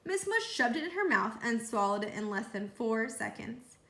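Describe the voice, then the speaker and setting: A woman reading a children's book aloud as a storyteller, with animated delivery. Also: fairly high pitch, normal speed. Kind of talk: read-aloud storytelling narration. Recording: roomy echo.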